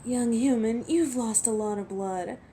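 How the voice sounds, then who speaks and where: A woman's voice: fairly high pitch, measured pace, dark tone, a little hiss or hum. A woman playing a cocky, teasing werewolf in a scripted audio roleplay, sardonic and protective with an edge of menace, speaking to the listener. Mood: cocky, taunting. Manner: sardonic, taunting, playful.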